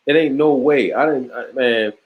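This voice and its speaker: A man talking as an interview guest in a relaxed, emphatic way, full of slang, restarts and boasts. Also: mid-range voice, normal speed, narrow, tinny audio. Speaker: podcast host, guest on the show. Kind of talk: casual interview chat.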